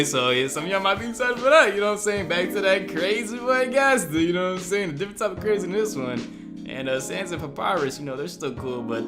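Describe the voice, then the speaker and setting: A man hosting a reaction video with casual, slangy, conversational commentary over loud guitar music. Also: medium pitch. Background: loud plucked guitar music.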